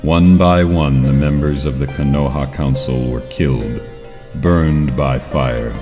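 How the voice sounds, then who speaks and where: A man's voice: extremely low pitch, steady tone, a little slow. A man narrating an anime ninja radio drama in a grave, scripted dramatic style, with reverb and music. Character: grave, deep male narrator of a ninja saga. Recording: long hall-like reverb.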